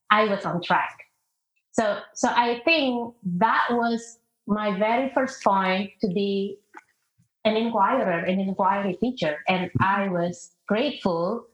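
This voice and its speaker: Indonesian accent lady being interviewed on a podcast, kinda dull audio, echoey room. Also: medium pitch. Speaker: podcast guest, international teacher. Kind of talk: reflective interview talk. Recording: muffled, roomy call audio.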